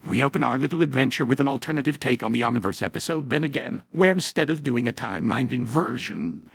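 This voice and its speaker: Gravelly, husky man narrating. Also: mid-range voice, no echo. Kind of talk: scripted story narration of a fan comic dub.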